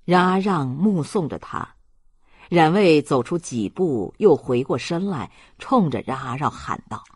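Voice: mid-range voice, expressive, a little slow, husky, no echo. A narrator reading literary fiction aloud in a dramatic storytelling manner. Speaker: audiobook narrator.

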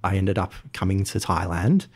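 A man's voice: sits low, normal speed, breathy edge. A man, a podcast guest talking in a friendly, storytelling way with frequent ums. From Australia. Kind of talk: casual interview talk.

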